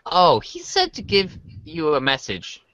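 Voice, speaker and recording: Casting: woman for a good-natured, bemused teenage boy in amateur theater; animated intonation, slow casual delivery. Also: deep voice, no room sound, full character voice. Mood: offhand.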